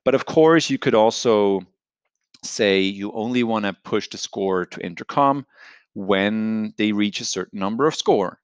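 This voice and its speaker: A man giving an explanatory software tutorial, talking through it step by step in a conversational, unscripted way. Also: fairly low pitch, measured pace, smooth, dry recording. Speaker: software product presenter. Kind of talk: screen-share product tutorial, explanatory.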